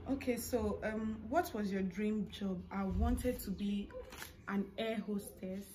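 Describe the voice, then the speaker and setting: A woman's voice: mid-range voice, slow. A young woman with a Nigerian accent chatting casually to camera as a vlogger, with informal asides as she answers questions. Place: living room of a family house, indoors. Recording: clear household background noise. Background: household noises.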